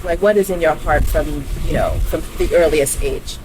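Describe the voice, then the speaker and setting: Female podcast host, lower register, casual interview chat, slight background noise. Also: moderate pace.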